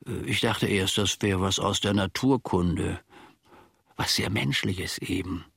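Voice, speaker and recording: An actor playing a pedantic, simple-minded manservant in a radio play, speaking in a ponderous, mock-learned, rambling way. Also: male voice, low voice, unhurried, gravelly, fairly bright tone, slightly rough, no room sound, studio quality, exaggerated voice. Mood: puzzled, musing. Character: pedantic, simple-minded male servant of a philosopher. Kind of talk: German radio play acting.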